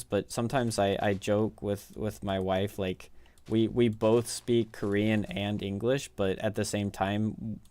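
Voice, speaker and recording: A man's voice: on the low side, a little mellow, no room sound. A man in his thirties with a Midwestern American accent, a game streamer rambling casually and self-deprecatingly with his viewers. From Midwest United States. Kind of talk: casual chat with live viewers while gaming.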